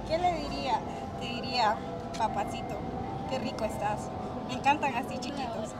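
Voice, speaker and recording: A woman's voice: on the high side, moderate pace, over loud music, over a noisy crowd. A woman in her twenties from Honduras, chatting casually with a street interviewer on a city street into a handheld mic. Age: 20s. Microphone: handheld mic held by interviewer.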